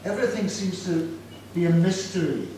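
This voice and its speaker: A man's voice: sits high, normal speed. A Scottish man in his sixties speaking informally to students about a drawing he made, self-mocking and asking the audience questions. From Scotland. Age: 60s. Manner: self-deprecating, chatty, asks the audience questions. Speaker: storyteller presenting his artwork. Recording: echoey room, distant mic, background noise.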